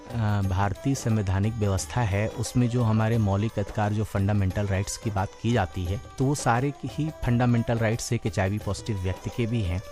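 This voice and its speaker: An Indian man giving an explanatory awareness talk in a formal, measured manner, repeating phrases for emphasis. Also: lowish voice.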